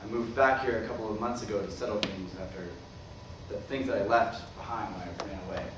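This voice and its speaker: A man acts as a dying former professor in a stage drama. He is dry, defensive and quick to argue with his brother. The recording is very echoey and distant from the microphone. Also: on the low side, fairly even intonation, natural voice. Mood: subdued, resigned. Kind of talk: staged play reading. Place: staged reading filmed in a roomy venue. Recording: echoey room, far mic, noisy.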